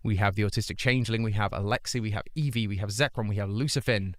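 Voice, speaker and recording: A man's voice: lowish voice, quick pace, no echo, clean studio sound. A man hosting a charity livestream, chatting casually and earnestly urging viewers to donate while still getting over an illness. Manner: earnest, pleading appeals to chat, rambling restarts. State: recovering from an illness, voice not at full strength.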